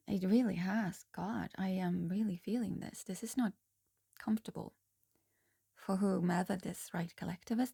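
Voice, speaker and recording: A hoarse young woman speaks slowly in a casual tarot reading, pausing often and restarting her sentences. Her tone is mellow and gravelly with a bit of fry, and the recording is dry and close. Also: medium pitch, no echo. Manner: slow, halting, soft-spoken with frequent ums and restarts. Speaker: tarot reader.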